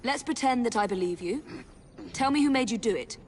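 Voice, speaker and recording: A woman playing a brisk, skeptical investigator in a video game, with direct, questioning delivery. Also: fairly high pitch, animated, slight background noise, natural voice. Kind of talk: game cutscene dialogue.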